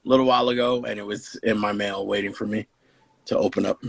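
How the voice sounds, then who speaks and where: A man's voice: fairly low pitch, fairly even intonation, fast talker, vocal fry, dry recording. A man in his early forties chatting casually as a co-host in a group talk about gaming. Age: early 40s. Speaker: podcast co-host. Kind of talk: casual group chat about video games. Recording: noisy background with clicks.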